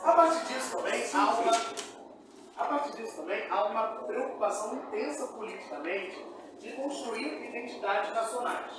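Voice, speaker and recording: A man's voice: very high-pitched, lively intonation, normal speed. Brazilian male teacher lecturing in echoey room. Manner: didactic, prompts listeners with questions. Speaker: history teacher. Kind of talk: classroom lecture. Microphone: distant from speaker. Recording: echoey room, distant mic, noisy.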